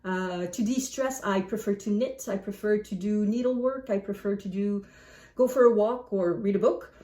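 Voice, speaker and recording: A woman book vlogger chatting casually to camera in an animated, self-deprecating way. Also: mid-range voice, moderate pace. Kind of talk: casual chat to camera, answering book prompts. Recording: echoey room, distant microphone.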